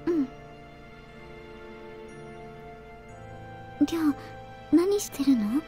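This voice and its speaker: A distant young woman speaking in a soft, hollow way. Her voice sits high but sounds very dark and mellow. Faint game music plays behind her. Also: very animated, fast. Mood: gentle. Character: young woman, dazed and distant, then gentle. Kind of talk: Japanese game voice acting.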